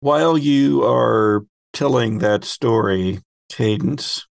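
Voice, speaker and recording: A man's voice: mid-range voice, slow, gravelly, dry recording. A man acting as game master, directing players in a tabletop game.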